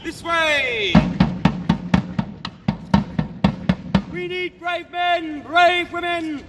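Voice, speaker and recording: A man's voice: very high-pitched, steady tone, slow pace, loud music behind the voice, heavily acted. A man playing a jovial, blustering castle guard in a live outdoor re-enactment, projecting theatrically to visitors. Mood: rallying. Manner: theatrical, declamatory, projecting to a gathering. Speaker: costumed guide performing for visitors. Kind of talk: outdoor live historical re-enactment, audience participation. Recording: outdoor crowd, noisy open reverberant space.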